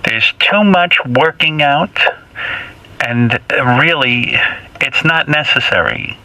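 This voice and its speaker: Man as a slow, nagging elderly mother. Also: a little high, animated, pushed and bright, full character voice, poor audio. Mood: lecturing. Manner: halting, emphatic, repetitive lecturing. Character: nagging elderly mother, eighty-five, fussy, lecturing. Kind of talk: comedy radio bit.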